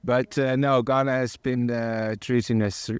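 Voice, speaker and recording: A man in his forties with a Dutch accent, talking casually as a diplomat guest, in measured, hesitant phrases. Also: medium pitch, level tone, smooth, no echo. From Amsterdam, Netherlands. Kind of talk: casual interview conversation. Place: outdoor terrace set.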